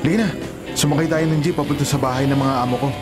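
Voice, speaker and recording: An actor playing a protective, teasing cousin who works as a driver in a Filipino radio drama, brotherly and matter-of-fact. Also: male voice, a little high, steady tone, quick pace, natural voice. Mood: advising. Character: protective, teasing male cousin, family driver showing newcomer around. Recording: loud music bed behind dialogue.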